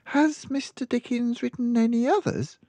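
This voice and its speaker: A man's voice: very high voice, steady tone, moderate pace, mellow, no echo, voice pushed up. A man voicing an earnest, polite, bookish little girl from a storybook in a child-like manner. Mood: eager, earnest. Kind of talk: storybook character voice.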